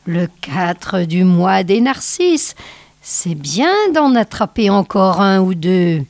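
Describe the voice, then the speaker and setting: A woman voicing a fierce old female snow witch who drives her wolves with shrill, bellowing cries. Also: animated, slow pace, a little mellow, no room sound. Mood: gloating.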